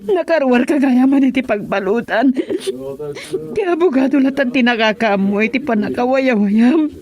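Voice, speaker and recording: Woman acting a dramatic filipino wife and mom, really high voice, emphatic radio serial style. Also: normal speed, darkish tone, close to an everyday voice. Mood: tearful, distraught. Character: defiant, emotional wife and mother.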